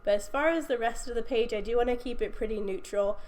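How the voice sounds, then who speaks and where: A woman's voice: on the high side, fast talker, a little mellow. A young woman with a stuffy cold, chatting casually through a craft video voiceover with frequent ums and little laughs. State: congested from a cold. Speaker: scrapbooking video host. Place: recorded voiceover at home.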